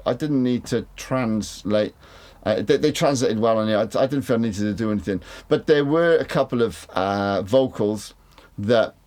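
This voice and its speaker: Low-voiced rambling man. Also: darkish tone. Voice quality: big voice. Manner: rambling, repeats words, swears casually. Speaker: mixing engineer reviewing studio monitors. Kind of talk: casual talk to camera. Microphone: studio condenser mic.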